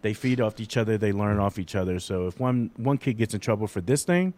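A man's voice: on the low side, animated, brisk, a little mellow. A man in his forties talking in a casual, rambling and candid way, self-deprecating about his own life. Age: 40s. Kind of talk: casual chat.

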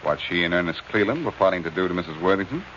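An actor playing a confident, wisecracking amateur detective in a breezy 1940s radio drama manner. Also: male voice, low-pitched, animated, racing, a bit bright. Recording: muffled, faintly noisy old radio recording.

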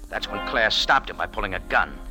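Actor as a wisecracking hardboiled radio detective, animated delivery. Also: male voice, fairly low pitch, fairly quick, natural voice. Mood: hardboiled narrating. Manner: hardboiled, sardonic, dry. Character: tough wisecracking American cafe owner turned amateur detective. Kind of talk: 1940s radio noir acting and first-person narration. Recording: old radio recording with music behind.

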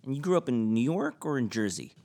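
A man's voice: medium pitch, very animated, fairly quick, gravelly, darkish tone. A man hosting a podcast, musing earnestly through rhetorical questions before he introduces a guest. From United States. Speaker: podcast host and musician. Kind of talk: reflective monologue and interview.

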